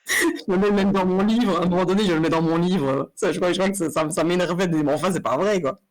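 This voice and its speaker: A woman's voice: lowish voice, expressive, normal speed, long pauses, rough recording. A Belgian woman with a Belgian French accent being interviewed about her writing, talking casually with lots of fillers, restarts and laughs. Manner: hesitant, many fillers and restarts; laughs often, light and chatty. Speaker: interviewed writer.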